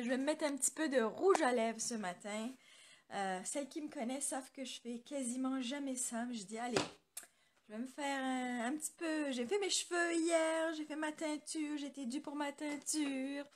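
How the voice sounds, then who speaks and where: Chatty higher-voiced woman streaming a craft lesson. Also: a little hiss or hum. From France. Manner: chatty and rambling, greets viewers by name. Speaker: craft demonstrator hosting a live stream. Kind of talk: casual live tutorial chat. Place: home craft studio. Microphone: computer camera mic.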